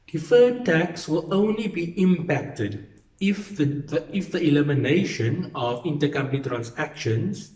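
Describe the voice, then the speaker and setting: A man's voice: on the high side, animated, measured pace, darkish tone. Man lecturing, roomy sound. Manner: didactic, repeats key points for emphasis. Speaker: accounting lecturer. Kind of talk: explanatory lecture.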